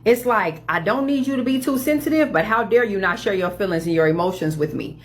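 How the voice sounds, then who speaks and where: A woman's voice: mid-range voice, expressive. Woman delivering a sarcastic opinion monologue. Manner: mocking quoted demands, quick bursts.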